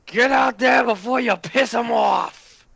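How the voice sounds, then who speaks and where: Creaky-voiced man giving casual reactions. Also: extremely high pitch, slow, fairly bright tone, raised voice. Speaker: reaction video host. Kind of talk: casual live reaction commentary.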